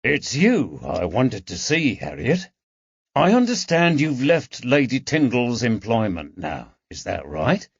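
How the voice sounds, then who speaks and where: Man reading a kindly constable, soft coaxing questions, animated pitch, dry dull sound. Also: takes it slowly, gravelly, slightly rough, no echo, exaggerated voice. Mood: gentle. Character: gentle, patient male police constable. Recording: dull, dry audiobook recording.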